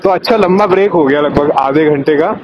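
A man in his thirties riding a motorbike on a highway, chatting slowly about his route as a travel vlogger and thinking aloud. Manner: slow, easygoing, thinking aloud. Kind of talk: casual ride commentary mixing Hindi and English. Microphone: helmet or bike-mounted action camera. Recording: dull lo-fi mic with road wind noise.

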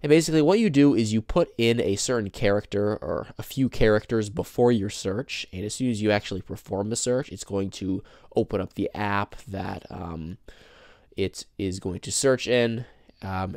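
A young man talks through a phone tutorial at a table, his voice low and a little gravelly. He explains each step plainly, with fillers and the odd embarrassed chuckle. The sound is dry, with no room echo. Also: normal speed. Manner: chatty, explains step by step with fillers and small self-laughs. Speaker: tech tutorial host. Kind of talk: casual screen-demo walkthrough. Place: indoors at a table, filming a handheld phone. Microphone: camera mic behind the phone.

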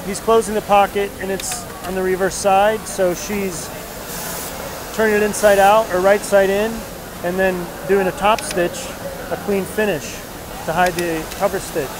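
A man's voice: sits high, level tone, a little slow. A middle-aged man, a denim designer, casually explaining the work as he walks a large factory floor and points things out, recorded on a wearable camera mic. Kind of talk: casual walkthrough narration. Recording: loud machine and air conditioning noise.

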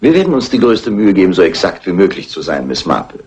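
An actor playing a patient, slightly weary police inspector in a German radio crime drama, measured, official and courteous. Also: male voice, deep voice, sing-song, a little mellow, highs cut off. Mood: polite, questioning.

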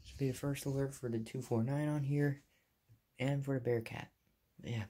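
A young American man with a medium-pitched voice talks viewers through a hobby in a casual way. His phrases are short, plain and offhand. It sounds like a handheld phone video made in a bedroom. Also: steady tone, normal speed, mellow. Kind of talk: casual show-and-tell narration. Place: small carpeted bedroom, indoors. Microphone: handheld phone behind the camera.

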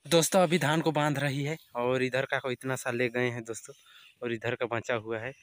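A man's voice: medium pitch, steady tone, moderate pace, no room sound, studio quality. A young man, chatting to viewers on a village vlog while filming himself outdoors on a handheld phone. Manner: chatty, keeps addressing viewers as friends. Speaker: vlogger. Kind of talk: casual outdoor vlog narration. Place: outdoors in open farm fields. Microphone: phone selfie camera at arm's length.

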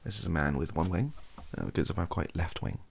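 Young british guy chatting softly, super low crackly voice, rambles and trails off. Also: brisk. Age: young adult. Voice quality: gravelly, husky. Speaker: ASMR YouTuber. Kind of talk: softly spoken improvised opinion monologue. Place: small home room, indoors.

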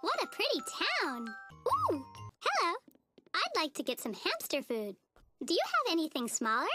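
Squeaky cartoon schoolgirl, extremely high pitch, swooping intonation, gushing, sweet, naive. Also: wildly swooping pitch, moderate pace, exaggerated voice. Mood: bubbly, friendly, then chatty, sweet. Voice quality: childlike, squeaky. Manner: gushing, singsong, oblivious. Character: relentlessly cheerful, naive, sweet little schoolgirl. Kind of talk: animated TV cartoon acting. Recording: music playing behind the voice.

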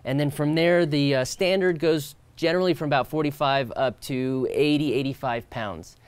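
A man's voice: a little high, normal speed, clean tone, dry recording. Man explaining a product, dry close sound. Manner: relaxed, explanatory, occasional fillers and restarts. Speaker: company co-founder presenting product. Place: outdoors by a stone wall.

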